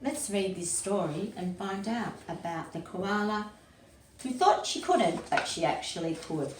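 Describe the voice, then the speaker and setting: A woman's voice: medium pitch, lively intonation, measured pace. A woman reading a picture book aloud to young children, expressively, with simple explanatory asides. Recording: roomy, echoey, distant mic with faint noise.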